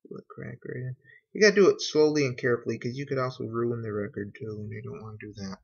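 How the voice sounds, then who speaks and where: A man giving a casual how-to narration in an unhurried, folksy way, talking through each step as a hobbyist. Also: medium pitch, fairly even intonation, creaky, a little mellow, husky. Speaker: hobbyist demonstrating a repair. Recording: dull, lo-fi home recording.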